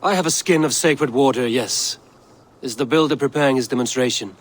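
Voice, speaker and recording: An actor playing a wrathful Norse god in a video game, speaking in an imperious, suspicious, declamatory way. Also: male voice, medium pitch, fairly quick, lightly acted. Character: wrathful, commanding Norse god, male wanderer and warrior. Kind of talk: video game cutscene acting.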